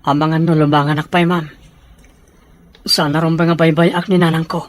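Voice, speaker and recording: A man's voice: on the high side, flat delivery, fairly quick, close to an everyday voice. A young man playing an anxious, dutiful son devoted to his mother in an audio drama. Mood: anxious, pleading. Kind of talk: Ilocano audio drama acting.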